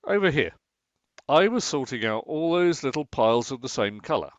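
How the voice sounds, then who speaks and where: Dry, sardonic husband, expressive and slightly muffled , acted by a man. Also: mid-range voice, moderate pace, no room sound, lightly acted. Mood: coaxing, earnest. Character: Martin, grumbling, sarcastic but affectionate husband in lockdown. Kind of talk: naturalistic radio play acting.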